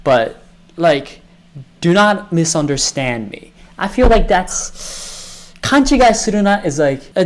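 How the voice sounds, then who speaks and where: A woman whose first language is Japanese, casually reacting to anime clips and explaining Japanese words in a playful way. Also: low-pitched.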